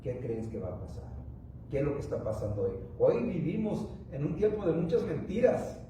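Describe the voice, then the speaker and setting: A man's voice: fairly high pitch, big pitch swings, dark tone, heavy reverb, distant mic, slight background noise, poor audio. A man preaching a sermon, a native English speaker who halts and restarts often as he speaks. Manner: rambling, halting with many restarts and fillers. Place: church.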